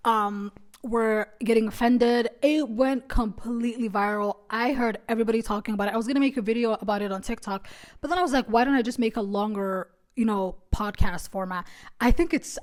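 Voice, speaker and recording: A woman in her late twenties hosting a solo podcast, talking in a chatty, animated, self-mocking way. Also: medium pitch. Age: 20s. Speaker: podcast host. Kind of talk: casual solo podcast chat.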